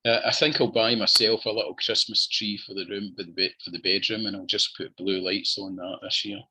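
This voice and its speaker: A man's voice: lowish voice, little pitch movement, a little fast, bright, forceful tone, slightly rough, poor audio. A man with a Scottish accent, chatting easily as the guest in a video-call interview and often repeating his phrases. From Scotland. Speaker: musician guest. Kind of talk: relaxed interview chat.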